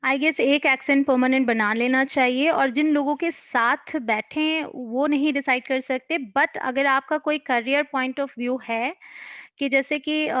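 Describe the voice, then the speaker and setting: High-voiced woman coaching spoken skills. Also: dry recording, dull audio. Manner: patient, advice-giving explainer. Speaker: English-speaking coach. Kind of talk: answering questions in an online session.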